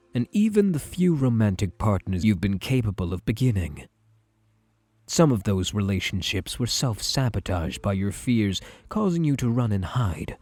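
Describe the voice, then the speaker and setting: A man playing a self-assured, possessive psychiatrist in an ASMR roleplay, speaking in a measured, soothing, formal manner with a husky voice. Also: lowish voice, darkish tone. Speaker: roleplay voice actor. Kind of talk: ASMR roleplay, scripted monologue to the listener.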